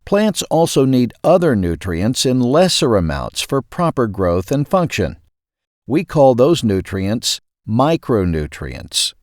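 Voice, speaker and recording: A man's voice: on the low side, darkish tone, dry recording, clean studio sound. A man reading a scripted explanatory article as the host, articulating carefully. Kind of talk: scripted narration.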